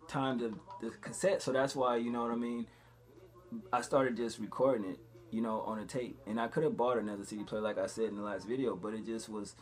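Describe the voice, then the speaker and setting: A man's voice: mid-range voice, darkish tone. A man talking casually to viewers as a vlogger, conversational and full of slang and swearing. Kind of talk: casual vlog talk to viewers.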